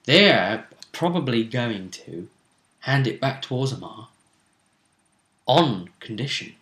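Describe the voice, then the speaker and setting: A man in casual chat about a tabletop game, speaking thoughtfully and drawing out his words. His voice is bright and sharp. The recording carries a roomy echo. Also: medium pitch, big pitch swings. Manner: thoughtful, with frequent restarts and drawn-out words. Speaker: game master and co-commentator.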